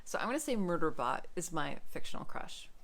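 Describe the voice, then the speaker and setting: A woman book vlogger chatting casually to camera in an expressive, emphatic way. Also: sits low, a little fast.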